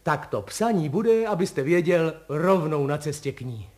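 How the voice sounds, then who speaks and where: A man's voice: fairly high pitch, animated, darkish tone, pitched above the performer's own voice, lightly acted. A man voicing a good-hearted, chatty postman in a storybook tale, with a colloquial, wordy and deferential manner. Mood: exuberant. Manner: colloquial, deferential yet exuberant, piling up words.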